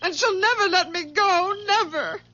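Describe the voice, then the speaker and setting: A woman's voice: very high-pitched, moderate pace, a crowd in the background. An actress playing a desperate, clinging, isolated young woman in a melodramatic, pleading radio drama style, on a muffled old broadcast. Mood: desperate, tearful.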